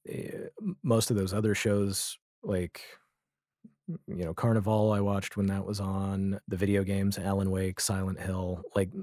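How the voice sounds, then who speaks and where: A man's voice: fairly low pitch, darkish tone, no room sound, clean studio sound. An American man chatting casually as an interview guest, wry and opinionated, wandering off on tangents. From Nashville area, US. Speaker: podcast guest, audio drama creator.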